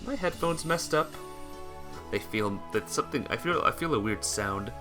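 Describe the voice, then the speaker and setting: A man's voice: medium pitch, animated, normal speed, over music. A man reading a visual novel aloud as amateur narration, sometimes stumbling and rereading phrases.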